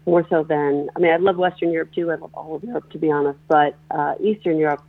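A composer and performer from northeastern Pennsylvania, answering interview questions on the radio, talking in a halting way with many restarts. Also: mid-range voice, steady tone, gravelly. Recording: tinny phone line.

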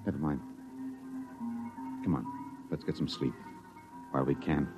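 An actor playing an earnest, hesitant American husband on the run in a classic radio drama. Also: male voice, extremely low pitch, steady tone, unhurried, loud music behind the voice. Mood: on edge. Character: anxious American husband, a fugitive from the future. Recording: dull old radio recording.

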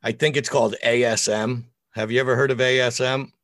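A middle-aged American man with a deep voice, chatting casually and bluntly on a podcast as an opinionated, foul-mouthed guest. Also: steady tone, a little fast, fairly bright tone, no echo, clean studio sound. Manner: opinionated, blunt, crude joking. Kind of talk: casual, profane podcast chat.

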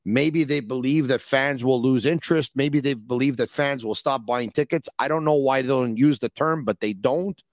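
A man's voice: medium pitch, normal speed, few pauses, dry recording. Give me a chatty man hosting a call-in show, recorded dry and close. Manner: chatty, rambling, animated. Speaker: podcast host. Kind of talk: casual call-in show chat.

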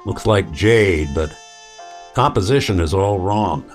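An actor playing a weary, wry synth detective with a dry deadpan delivery in an old-time radio noir drama. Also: male voice, deep voice, measured pace, soft background music. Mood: businesslike.

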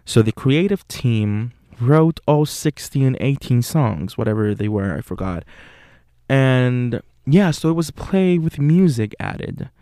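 A man's voice: medium pitch, lively intonation, measured pace, dark tone, no room sound, studio quality. A young man from Puerto Rico, a native Spanish speaker with a Puerto Rican Spanish accent, hosting a solo podcast in an earnest way, with frequent fillers and self-corrections. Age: young adult. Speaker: podcast host.

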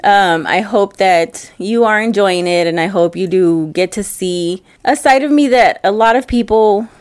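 A woman's voice: medium pitch, a little slow, no echo. Woman, podcast host, stuffed-up nose, rambling casual chat, breath close on the mic. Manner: chatty, loose and rambling. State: stuffed up from allergies. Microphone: close mic, breathing audible.

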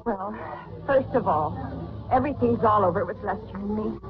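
An actress playing an anxious wronged wife, urgent and insistent, in an old-time radio drama. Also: female voice, mid-range voice, moderate pace, lightly acted. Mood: agitated, insistent. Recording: muffled, hissy old radio recording.

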